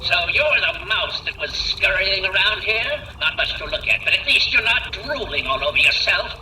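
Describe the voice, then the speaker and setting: A haughty bodiless genius, bright and roomy. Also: male voice, high voice, expressive, moderate pace, slight background noise, exaggerated voice. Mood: scornful. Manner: condescending, insulting. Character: arrogant disembodied male genius brain. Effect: holographic projection. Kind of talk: video game dialogue. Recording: roomy, distant voice.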